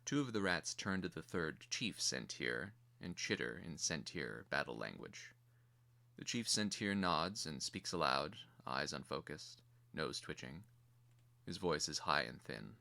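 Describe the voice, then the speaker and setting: A man narrating a screenplay as a dramatic reading, in a measured, theatrical manner. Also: extremely low pitch, animated, gravelly, pushed and bright.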